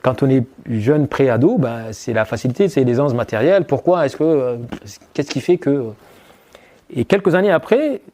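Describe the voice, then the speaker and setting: A middle-aged man from Chad, a former officer answering an interview question thoughtfully, halting with many fillers and restarts. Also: medium pitch, expressive, mellow.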